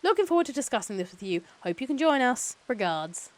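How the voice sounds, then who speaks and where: A woman's voice: on the high side, very animated, a little fast, pitched above the performer's own voice. A woman voicing an enthusiastic, cordial man's letter as she reads a novel aloud. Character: enthusiastic male host writing a warm invitation letter.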